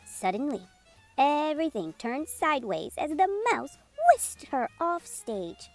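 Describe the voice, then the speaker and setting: A woman reading a children's picture book aloud as a storytime host, in an expressive, unhurried storytelling manner. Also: high-pitched. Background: music playing under the voice.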